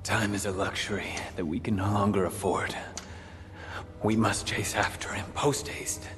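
An actor playing a grave, formal male poet-warrior who speaks in measured, old-fashioned phrases. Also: fairly low pitch, steady tone, a bit bright, long reverb tail.

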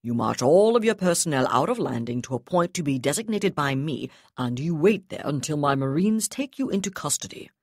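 A male navy commodore , read by a woman, speaks with icy, clipped precision and open disdain. His tone stays calm and controlled. The voice sits low and the recording is dry. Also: fairly quick, few pauses, dry recording.

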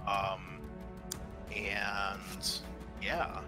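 A man's voice: on the low side, completely flat delivery, very slow. A man acting as game master, patiently explaining tabletop roleplaying rules in a casual, conversational way. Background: music.

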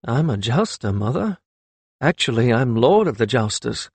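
A young lord of dragon riders played by a man, firm and earnest, with a mid-pitched voice. His speech is formal and commanding, in the manner of a fantasy audiobook, recorded dry and close. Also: sing-song, moderate pace, darkish tone, slightly rough, no room sound. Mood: hurried, earnest.

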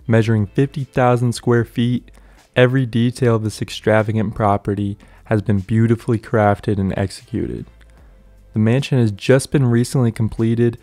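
A man's voice: lowish voice, dark tone, no room sound. A man reading a scripted voice-over narration for a luxury house tour video, in an upbeat promotional manner.

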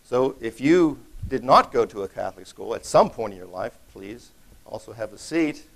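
A man's voice: mid-range voice, lively intonation, normal speed, vocal fry. A middle-aged man giving a keynote talk at a conference, speaking off the cuff with jokes, fillers and restarts, recorded in a large hall while he stands away from the podium. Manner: conversational, joking, many restarts and fillers.

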